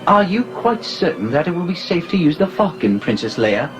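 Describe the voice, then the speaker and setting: A fussy golden protocol droid whose pitch swoops up and down as he talks. He is prim and very proper, and music plays behind him. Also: sing-song, normal speed.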